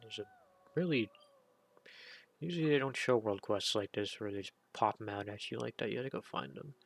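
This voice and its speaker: A man doing casual game commentary, rambling and thinking out loud as he plays and reads off skill text. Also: fairly low pitch, brisk, gravelly. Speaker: gaming streamer.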